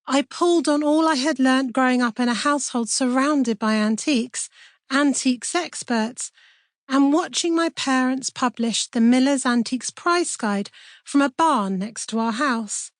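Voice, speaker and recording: A woman's voice: sits high, a little slow, dry recording. A middle-aged woman with a British accent reading a scripted personal introduction as an author. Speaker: author introducing own audiobook.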